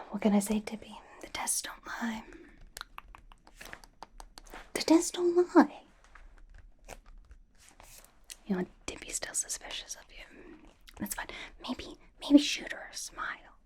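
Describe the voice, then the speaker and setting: A woman's voice: fairly high pitch, animated, moderate pace, darkish tone, natural voice. A woman playing a quirky, playfully suspicious young superheroine in an ASMR roleplay, coaxing and questioning in an airy whisper. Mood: coaxing, teasing. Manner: coaxing, playful mock-interrogation, repeats phrases. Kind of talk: ASMR roleplay, personal attention.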